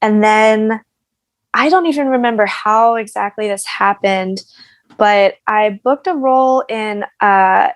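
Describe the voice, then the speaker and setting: An American woman telling stories in a casual interview, animated and self-deprecating in her humour. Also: medium pitch, unhurried, dry recording. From United States. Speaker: guest, actress and singer.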